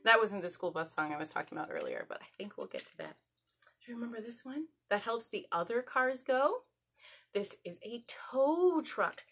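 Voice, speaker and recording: A woman's voice: a little high, huge pitch swings, normal speed, fairly bright tone, slightly rough, faint music behind. A woman in her thirties hosting an interactive children's storytime, encouraging listeners and prompting them with questions. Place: carpeted home living room, indoors. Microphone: camera a couple of metres away.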